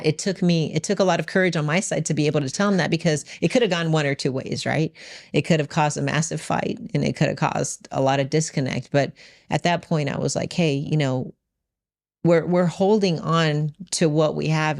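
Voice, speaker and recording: A woman's voice: low voice, gravelly, a little mellow, no room sound, studio quality. A woman telling a personal story in conversation, emphatic and often quoting what was said in direct speech. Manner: emphatic, re-enacts dialogue in direct quotes. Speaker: podcast guest and business owner.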